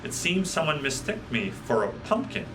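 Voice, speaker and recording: A man voicing a wise old tree in a children's storybook, patient and philosophical, with gently wry humor. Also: a little high, very animated, normal speed, recorded from a distance, noisy background, voice pushed up.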